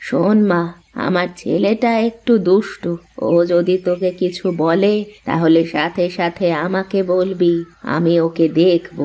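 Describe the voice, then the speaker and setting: A woman voicing a middle-aged cartoon mother-in-law, affectionate, protective and gently bossy in a motherly way. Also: on the low side, fairly even intonation, unhurried. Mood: tender. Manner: affectionate, firm, motherly scolding. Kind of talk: cartoon voice acting.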